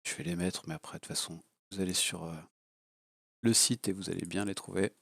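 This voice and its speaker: Gravelly low-voiced man with a cold. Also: steady tone, fairly quick, fairly bright tone, no room sound, clean studio sound. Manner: blunt and crude, rambling with many fillers. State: has a cold. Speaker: FPV drone hobbyist reviewer. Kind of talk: casual unscripted product review. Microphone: low-level home mic.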